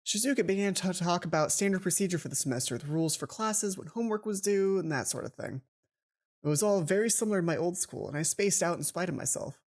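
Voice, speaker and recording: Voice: medium pitch, animated, quick pace, a little mellow. A let's play host reading a visual novel aloud with casual commentary, stumbling over words and interrupting the narration.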